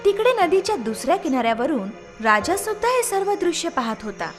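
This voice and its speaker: A woman's voice: very high voice, sing-song, fairly quick, soft background music. A woman narrating a scripted moral story for children.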